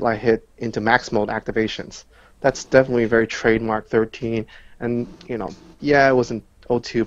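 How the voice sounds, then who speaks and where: A man's voice: medium pitch, highs cut off. A man giving casual, analytical answers as a guest player in a gaming interview.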